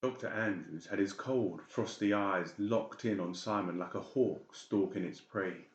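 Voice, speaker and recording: Male narrator, fairly low pitch, dramatic horror storytelling, echoey and slightly dull recording. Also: measured pace. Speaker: audiobook narrator.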